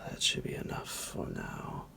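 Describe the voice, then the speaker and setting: A man playing a psychotic, possessive vampire doctor in an ASMR roleplay, breathy and gravelly, with a drawn-out, menacing, mock-affectionate delivery. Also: pushed and bright, a little hiss or hum. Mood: smug, condescending. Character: psychotic male vampire doctor, possessive, sinister, condescending kidnapper. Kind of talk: ASMR roleplay, slow theatrical monologue.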